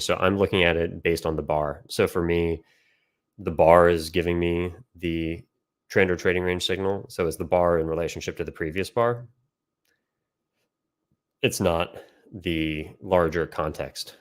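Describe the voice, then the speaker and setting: A man with an extremely low-pitched voice speaks as an educator in an informal online session. He explains step by step in a halting way, often stopping mid-phrase to find his next words. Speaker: trading educator and system developer. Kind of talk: informal instructional chart commentary with audience questions.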